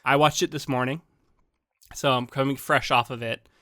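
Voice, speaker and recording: A man's voice: mid-range voice, normal speed, no room sound, studio quality. A man co-hosting a podcast, sounding a little congested, chatting casually with lots of ums and restarts. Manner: rambling, animated, frequent ums and restarts. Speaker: podcast co-host.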